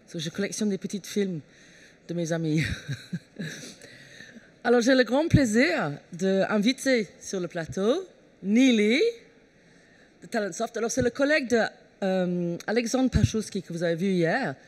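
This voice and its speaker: A woman's voice: mid-range voice, expressive, unhurried, long pauses. A woman hosting a trade fair stage, greeting the audience and introducing a speaker in a chatty, playful way. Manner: chatty, playful, laughs often. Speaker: conference moderator.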